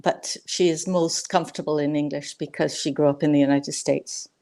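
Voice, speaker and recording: A woman in her sixties from Barbados, speaking as a grandmother guest on a live online panel, measured and dotted with "um" pauses. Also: low-pitched, steady tone, dark tone. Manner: measured, many "um" fillers. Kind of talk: live video panel conversation.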